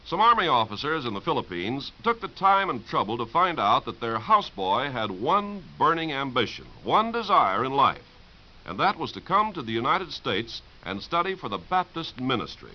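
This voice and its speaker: A man's voice: mid-range voice, very animated, normal speed. A man reading scripted 1950s radio narration as an announcer, in an earnest, formal manner. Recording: dull old radio recording.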